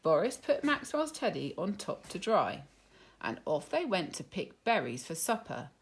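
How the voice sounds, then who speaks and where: A woman reading a children's story aloud in an expressive, deliberate teacherly way. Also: on the low side, husky. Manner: animated, deliberate.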